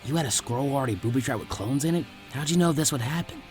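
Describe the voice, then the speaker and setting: A man's voice: medium pitch, fast talker, darkish tone, faint music behind, close to an everyday voice. A man voicing a laid-back, skeptical teenage ninja boy in a story with a bored drawl.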